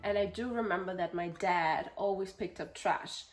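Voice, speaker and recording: A woman's voice: medium pitch, moderate pace, faint music behind. A woman in her thirties from Germany, with a German accent, talking casually as an interview guest and now and then searching for words. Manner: chatty, laughs often, searches for words. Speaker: guest, nonprofit founder.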